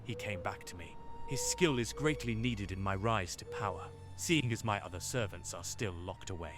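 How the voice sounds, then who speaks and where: A man playing a menacing dark wizard lord in an audio drama, speaking in a slow, pompous manner. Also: fairly low pitch, music in the background, natural voice. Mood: cold menace.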